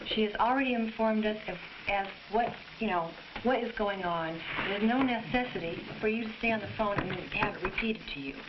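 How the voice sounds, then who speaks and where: A woman's voice: mid-range voice, a little fast, hardly any pauses. Woman on a muffled, noisy old film. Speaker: mother.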